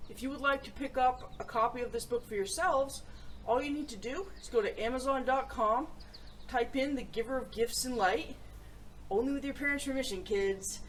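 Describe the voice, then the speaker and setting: A woman hosting a children's story show, reading aloud in an animated, expressive way. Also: sits high, moderate pace, slight background noise, low-quality recording.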